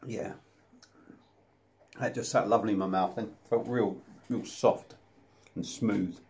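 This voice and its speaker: A man's voice: lowish voice, steady tone, unhurried, a little mellow. A man, casually reviewing a beer to camera at home, chatty and self-deprecating. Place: small room at home, indoors. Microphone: camera close up at desk level.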